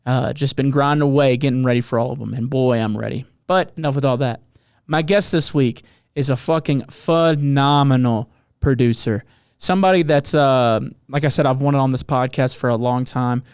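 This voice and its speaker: A man's voice: medium pitch, moderate pace, a little mellow, smooth, no echo, clean studio sound. A man with a Southern US accent hosting a casual podcast, laid-back and swearing freely, with lots of fillers. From American South. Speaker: podcast host and touring musician.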